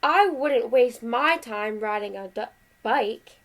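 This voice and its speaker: A woman's voice: fairly high pitch, animated, slow pace, fairly bright tone, full character voice. A woman voicing skeptical, disapproving female farm animals in a picture book.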